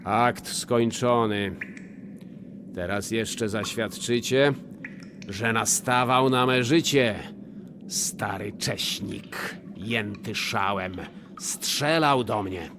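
An actor playing a scheming, miserly old notary in a verse radio play, wheedling and falsely sweet. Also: male voice, on the low side, very slow, background noise. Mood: calculating.

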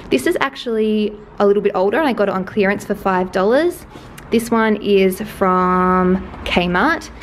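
A young woman in her twenties with an Australian accent, slightly hoarse, chatting scatterbrained and self-mocking as she films an apartment tour on a handheld camera. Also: mid-range voice, a little hiss or hum. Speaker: lifestyle vlogger. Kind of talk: casual apartment tour vlog. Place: small apartment indoors and balcony. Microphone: handheld camera at arm's length.

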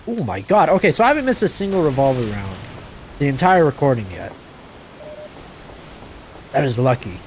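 A young man giving casual gameplay commentary, excitable, yelping and laughing at the action, his voice a little stuffed up. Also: mid-range voice, normal speed, long pauses, a little hiss or hum. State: a bit stuffed up from crying. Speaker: gaming channel host.

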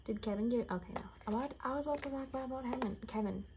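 A young woman doing an ASMR roleplay in soft, half-whispered, gossipy rambling chat. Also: on the high side, rapid-fire, noisy background. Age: young adult. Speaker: ASMR performer. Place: small bedroom, indoors.

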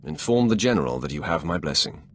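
An actor playing a commanding, imperious Sith lord in a video game, with a gravelly voice and formal, menacing delivery. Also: male voice, deep voice, big pitch swings, a little hiss or hum. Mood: commanding, composed. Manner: imperious, formal, measured wording. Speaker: military commander, player character. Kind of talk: video game dialogue acting. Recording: music under the voice.

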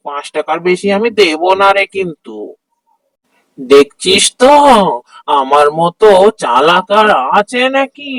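A man's voice: high voice, fairly bright tone, no echo, rough recording. A man voicing a stingy, crafty old village father-in-law in a cartoon folk tale, grumbling, haggling and exclaiming. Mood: smug. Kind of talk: Bengali cartoon fairy-tale dialogue.

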